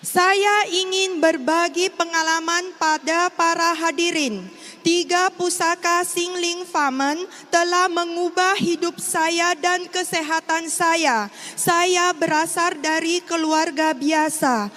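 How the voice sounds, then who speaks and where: A middle-aged woman from Riau with an Indonesian accent, giving a formal, measured prepared testimony. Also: very high-pitched, fairly even intonation, a bit bright. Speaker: testimony speaker. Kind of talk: prepared personal testimony speech. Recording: long hall reverb, faint background noise.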